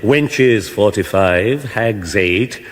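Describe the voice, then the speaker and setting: An actor playing a sinister, obsequious court scribe with a formal, deadpan manner in a radio fantasy comedy. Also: male voice, fairly low pitch. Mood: deadpan grim. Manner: formal, measured, deadpan recital. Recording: echoey reverberant hall.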